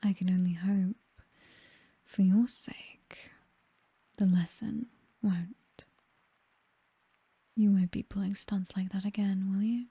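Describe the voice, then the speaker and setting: A woman with a British accent playing a possessive, seductive vampire mistress in a whispered, breathy ASMR roleplay, doting yet condescending and coolly menacing. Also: mid-range voice, steady tone, takes it slowly, pauses often, warm tone, dry recording, heavily acted. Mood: softly menacing.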